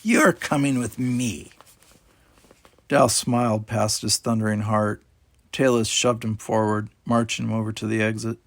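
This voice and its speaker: A man's voice: fairly low pitch, little pitch movement, normal speed, no echo. A man reading a children's sci-fi story aloud as scripted audiobook narration. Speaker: audiobook reader.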